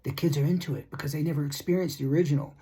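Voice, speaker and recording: A middle-aged man giving a casual opinion monologue as a rock music commentator, blunt and critical, rambling with halting, repeated starts. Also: a little high, fairly even intonation, dark tone, husky. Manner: rambling, repetitive, halting asides.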